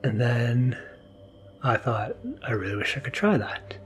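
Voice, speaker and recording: A man speaking as a podcast host in a casual, intimate monologue, hesitant and soft-spoken. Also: mid-range voice, measured pace. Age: adult, new father. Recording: mellow music underneath. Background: soft mellow music.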